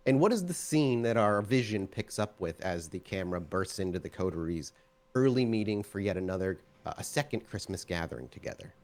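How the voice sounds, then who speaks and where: A man's voice: sits low, animated, a little fast, darkish tone. A man running a tabletop roleplaying game as storyteller, narrating scenes descriptively and addressing his players. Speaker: game master.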